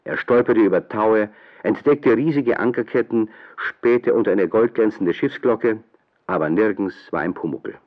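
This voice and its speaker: A man's voice: on the low side, moderate pace, highs cut off. A man narrating a scripted German children's radio play as storyteller. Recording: thin, band-limited old radio play.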